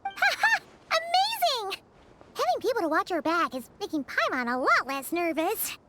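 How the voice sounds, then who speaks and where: A woman voicing a small, childlike fairy companion in a video game, squeaky, chatty, excitable and easily nervous. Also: moderate pace, very noisy. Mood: delighted, relieved. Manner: refers to self in third person, exclaiming. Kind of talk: video game character dialogue.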